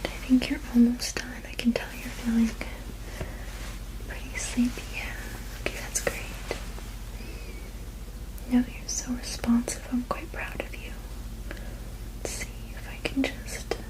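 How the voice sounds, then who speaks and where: A woman whispering gently and reassuringly in an ASMR roleplay as a soothing witch healer, with a breathy, unvoiced voice. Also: fairly high pitch, little pitch movement, a little slow, pauses often, poor audio. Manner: gentle, soothing, reassuring, repeating soft words. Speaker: ASMR performer.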